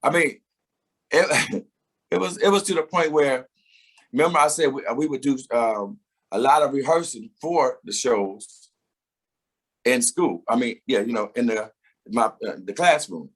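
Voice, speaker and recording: A man's voice: mid-range voice, expressive, unhurried, pauses often. A middle-aged man, a singer, talking reflectively in a casual interview, often laughing and repeating words as he starts a thought.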